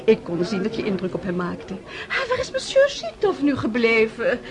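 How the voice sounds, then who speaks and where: Gushy high-voiced aristocrat hostess, super lively and scheming, radio play, party chatter behind. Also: female voice, normal speed. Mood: gushing, confiding. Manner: effusive, gossipy, theatrical. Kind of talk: radio drama acting. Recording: murmuring party crowd behind.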